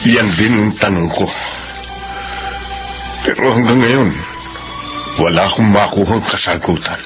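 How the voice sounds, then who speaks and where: An actor playing a concerned, sorrowful father in a Filipino radio drama, grave and gentle. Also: lowish voice, measured pace, lo-fi sound, natural voice. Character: concerned, sorrowful father of an ailing adult son. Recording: radio drama with loud music underneath.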